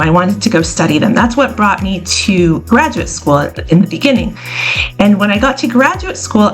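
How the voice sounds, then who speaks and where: Clear-spoken scholarly woman. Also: medium pitch, poor audio. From born in Israel, raised in the United States. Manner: clear, explanatory. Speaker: scholar and guest. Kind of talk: thoughtful interview answers.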